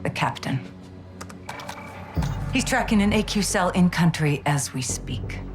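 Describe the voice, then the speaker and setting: An actress playing a composed, determined American intelligence officer in a war game, speaking in a clipped, serious, matter-of-fact way. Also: female voice, on the low side, animated, unhurried. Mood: firm, determined. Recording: loud music behind the voice.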